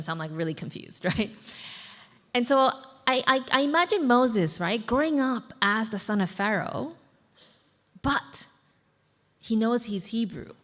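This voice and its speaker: A woman's voice: medium pitch, a bit bright, in a large hall. A woman in her thirties, from the United States, preaching a sermon as pastor in a conversational way, with fillers and asides to the congregation. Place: church hall.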